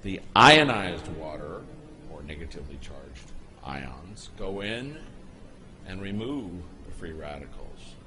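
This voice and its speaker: A man giving an unscripted voice-over, explaining footage in a halting way with pauses and restarts. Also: deep voice, sing-song, noisy background. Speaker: narrator explaining a product video.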